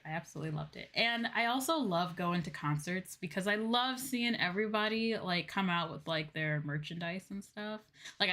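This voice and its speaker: A woman's voice: on the low side, a bit bright. A woman livestream host chatting casually to her viewers in an informal, gushing way. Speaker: game livestream host, VTuber.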